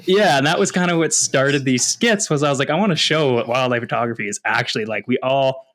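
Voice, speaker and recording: A man's voice: mid-range voice, a little fast, fairly bright tone. A Canadian man from Ontario talking casually and with animation about himself as a guest, in a self-deprecating way. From London, Ontario, Canada. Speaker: podcast guest, wildlife photographer and content creator. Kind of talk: casual personal storytelling.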